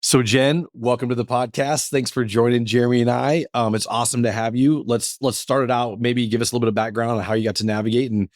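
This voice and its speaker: An upbeat man hosting a workplace podcast in a relaxed, chatty way, with plenty of fillers. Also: medium pitch, fast talker, hardly any pauses, dry recording, studio quality. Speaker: podcast host. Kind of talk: casual podcast chat.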